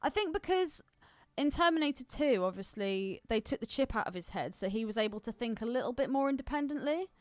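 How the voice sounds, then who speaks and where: A woman's voice: on the high side, lively intonation, fairly quick, dry recording. A woman co-hosting a podcast, chatting casually about films in an opinionated, bantering way. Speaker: podcast co-host. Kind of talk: casual film discussion chat.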